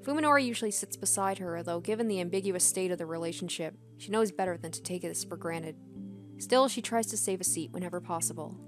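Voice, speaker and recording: A woman narrating a visual novel aloud as a let's player, expressive, with casual commentary. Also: on the low side, quick pace. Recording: background music under the voice. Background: game music.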